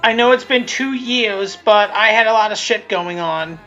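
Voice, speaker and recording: Female commentator, bright edge, mid pitch, unscripted and blunt, repeats herself and restarts often, faint music underneath. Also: moderate pace, muffled recording. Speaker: creator of a fan abridged series. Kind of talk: casual, unscripted episode commentary.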